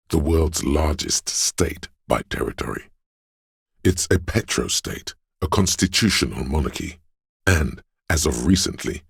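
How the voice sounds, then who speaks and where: A man voicing a wry, grandiloquent, erudite inner voice of trivia in a video game, lecturing in measured scripted narration. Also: extremely low pitch, gravelly, breathy edge, dry recording, studio quality, heavily acted.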